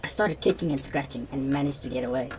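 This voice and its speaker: A woman's voice: a bass voice, fast, a little mellow, a little hiss or hum, poor audio. A young woman playing a shaken, hesitant assault survivor giving a statement in a radio drama.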